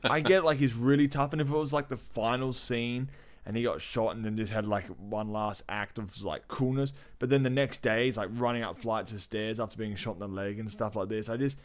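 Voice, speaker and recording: A man's voice: mid-range voice, fairly even intonation, a little fast. A man co-hosting a podcast, chatting casually and enthusiastically about pop culture with plenty of slang and swearing. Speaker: podcast co-host.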